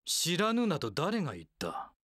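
A man's voice: fairly high pitch, wildly swooping pitch, moderate pace, fairly bright tone, no echo, clean studio sound, exaggerated voice. An actor playing a cold, haughty warlord strategist in an action game, imperious, clipped and full of scorn for his underlings. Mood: cold disdain. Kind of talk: Japanese action game voice acting.

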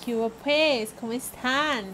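A woman's voice: high voice, measured pace, a loud crowd. A young woman chatting playfully with viewers and teammates while streaming a mobile game. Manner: playful, thanks viewers, swears when frustrated. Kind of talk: casual live-stream chat while gaming.

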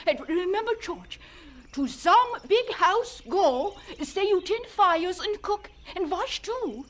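A fretful, motherly farm wife in a radio drama. Her high voice moves slowly but very expressively through anxious broken English. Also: lively intonation, full character voice. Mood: anxious farewell.

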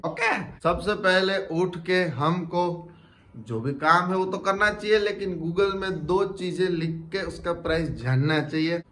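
Young man, slightly high voice, chatty and repetitive as he explains point by point. He talks close to a phone camera in a home living room, like a relaxed family vlogger. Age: young adult. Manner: chatty, explains step by step with repeats.

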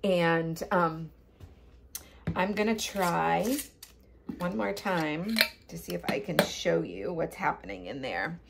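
A woman's voice: lowish voice, lively intonation, slow pace, creaky, background noise. An older woman hosting a home cooking channel, explaining a kitchen how-to in a casual, chatty way, filmed on a phone in her kitchen. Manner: chatty, gestures with hands, frequent ums. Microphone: phone camera at close range.

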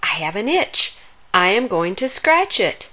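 A woman's voice: medium pitch, sing-song, measured pace, pushed and bright, exaggerated voice. A woman voicing a young, itchy, pleading triceratops from a children's storybook in a plaintive way.

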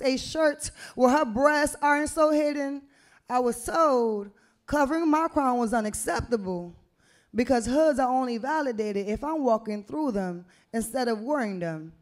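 High-pitched young woman reciting spoken word. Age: young adult. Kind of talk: spoken word poetry recital at an open mic. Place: small indoor venue stage with brick wall. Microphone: handheld-type vocal mic on a stand, close to the mouth.

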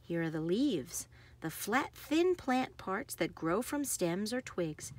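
A woman reads a children's picture book aloud expressively, with deliberate phrasing and emphasis on action words. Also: mid-range voice, sing-song. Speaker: read-aloud host.

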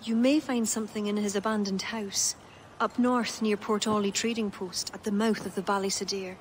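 An earnest young Irishwoman, acted by a woman in a video game cutscene. Her pitch is middling and her manner sombre and caring. There is faint ambient sound around the voice. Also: fairly even intonation, normal speed, slight background noise, close to an everyday voice, low-quality recording.

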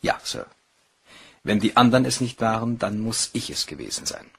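Stiffly polite, lowish bank cashier. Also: male voice, sing-song, moderate pace, gravelly, slight background noise. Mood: formal, subdued. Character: meticulous, stoic, deferential male bank cashier. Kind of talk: German crime radio play acting.

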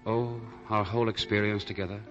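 Man playing troubled romantic hero, earnest, low register, 1940s radio play, prominent music underneath. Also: little pitch movement, fairly quick, fairly bright tone, close to an everyday voice. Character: earnest, troubled male lover with a secret. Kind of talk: 1940s radio drama acting. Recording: muffled old 1940 radio recording, music behind.

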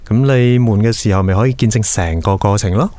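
An actor playing a caring, attentive young male boss in a radio drama, speaking in a gentle, reassuring way. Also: fairly low pitch, fairly quick, dry recording, close to an everyday voice. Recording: background music under studio drama dialogue.

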